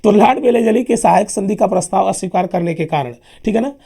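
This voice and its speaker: A man with a sore throat teaching a lively history lesson for exams, emphatic and chatty, often calling his listeners sir. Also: high-pitched, fast talker. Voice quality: husky, gravelly. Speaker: exam coaching teacher. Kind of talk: lively classroom history lecture.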